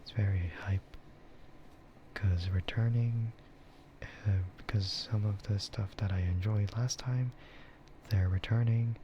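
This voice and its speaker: Male ASMR streamer: deep, slow, breathy half-whisper, laid-back rambling, slightly muffled recording with faint noise. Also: steady tone. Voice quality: soft, breathy, often slipping into a whisper. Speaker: streamer and VTuber host. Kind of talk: ASMR casual chat.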